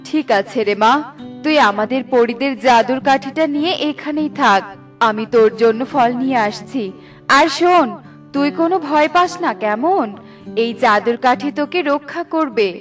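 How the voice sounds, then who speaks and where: A caring fairy mother from a children's cartoon , played by a woman. Her high voice reassures her child over soft background music. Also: lively intonation, normal speed. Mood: reassuring.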